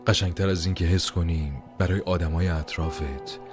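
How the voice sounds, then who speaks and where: Very deep, rapid-fire male recitation. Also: fairly even intonation. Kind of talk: poetic recited voice-over. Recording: heavy music bed behind voice.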